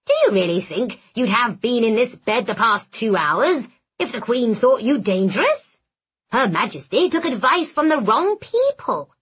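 A woman voicing a fussy, brisk but affectionate little male hobgoblin in an audiobook. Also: fairly high pitch, sing-song, fairly bright tone, slightly rough, exaggerated voice. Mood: gruffly reassuring. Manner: irritated, scolding yet fond. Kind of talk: audiobook character voice.

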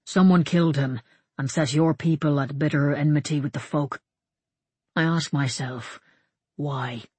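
A narrator voicing a soft, grave, probing monk, crisp man. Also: medium pitch, fairly even intonation, dry recording. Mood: grave, questioning. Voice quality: soft-voiced. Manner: grave, measured, reassuring. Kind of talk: audiobook character dialogue.

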